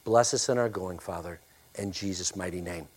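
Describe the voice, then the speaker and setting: A male pastor preaching a sermon and praying, with a loud, forceful voice, emphatic when preaching and hushed in prayer. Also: low voice, normal speed, slightly rough.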